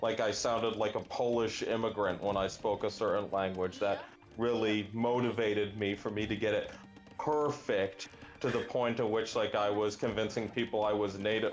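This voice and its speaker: A young adult man chatting casually while playing a game, musing aloud and often pausing with fillers and restarts. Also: fairly low pitch. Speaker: language gaming guest. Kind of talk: casual chat while gaming.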